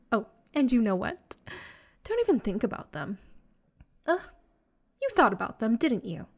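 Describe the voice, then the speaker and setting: A woman's voice: fairly high pitch, very animated, a little slow, full character voice. A woman playing a possessive, lovesick vampire in a comedic roleplay audio drama, sarcastic and theatrical. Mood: haughty, hostile. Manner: sarcastic and theatrical, exasperated sighs, breathy flirting.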